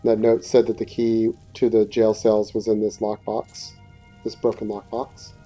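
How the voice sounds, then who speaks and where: A man talks through a video game in a relaxed, fairly low voice. He is conversational and a bit hesitant. The recording is somewhat dull, with faint game music underneath. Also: unhurried. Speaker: gaming video creator. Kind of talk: casual let's play commentary. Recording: dull muffled audio, faint music behind.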